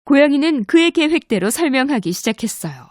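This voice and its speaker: A woman narrating a children's cartoon story in a gentle storytelling manner. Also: sits high, sing-song, brisk, no echo, studio quality. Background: loud background music.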